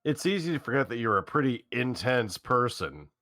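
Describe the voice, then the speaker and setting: A man reading the lines of an easygoing teenage boy in a game read-along, casual and lively. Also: medium pitch, moderate pace, no echo, pitched above the performer's own voice. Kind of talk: read-aloud of a game script with friends. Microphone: headphones with mic.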